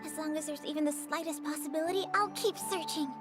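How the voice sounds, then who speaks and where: A woman's voice: high voice, fairly even intonation, quick pace, over loud music, close to an everyday voice. A woman voicing an earnest, tender young girl in a video game, heartfelt and determined. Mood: hopeful, determined. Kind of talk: video game dialogue acting.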